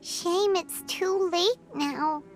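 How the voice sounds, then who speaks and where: A woman voicing a small, childlike, curious fairy companion in a video game, squeaky and innocent. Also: extremely slow, faint music behind, full character voice. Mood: wistful. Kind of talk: video game character acting.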